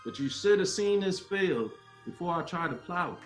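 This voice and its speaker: A man's voice: high-pitched, lively intonation, moderate pace. An actor playing an earnest baseball great, father and civil rights speaker in a dramatic table read, with oratorical delivery. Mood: solemn oratory. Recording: roomy echo with music behind. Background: music.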